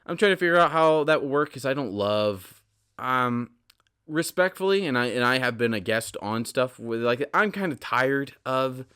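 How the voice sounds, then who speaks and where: A man in his twenties hosting a casual solo podcast, rambling and stammering over repeats, swinging between loud bursts and quiet asides. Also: mid-range voice, animated, normal speed, smooth. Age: 20s. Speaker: podcast host.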